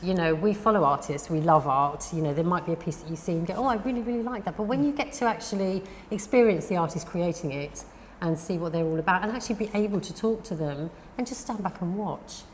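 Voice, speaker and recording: A woman's voice: on the low side, fast, few pauses. A woman hosting a gallery interview, encouraging, with frequent backchannel yeahs. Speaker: gallery host. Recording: long reverb, faint background noise.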